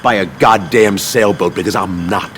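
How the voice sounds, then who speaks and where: An actor playing a smug, menacing crime boss in a video game, taunting with theatrical, drawn-out threats. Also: male voice, lowish voice, a bit bright, slight background noise, lightly acted. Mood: threatening. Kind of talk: video game cutscene acting.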